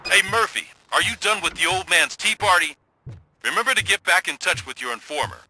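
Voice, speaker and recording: A brisk police colleague in a game drama. His voice is fairly high and very piercing, with lively pitch. He is informal and needling as he talks shop. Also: a little hiss or hum, exaggerated voice, poor audio. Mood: brisk, teasing. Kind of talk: video game dialogue acting.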